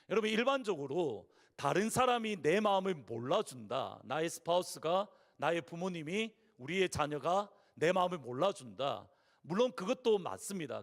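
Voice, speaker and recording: A hoarse male pastor with a Busan accent delivers a Sunday sermon. His voice sits fairly high and swings widely in pitch as he tells stories and poses questions. It carries through the long reverb of a large church sanctuary. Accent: Gyeongsang (Busan). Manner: earnest, storytelling preaching with rhetorical questions.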